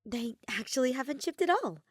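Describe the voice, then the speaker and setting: A woman's voice: sits high, lively intonation, brisk, slightly rough, no echo, clean studio sound, pitched above the performer's own voice. A woman voicing an earnest, cheerful teenage girl pianist who speaks eagerly and gets a little flustered.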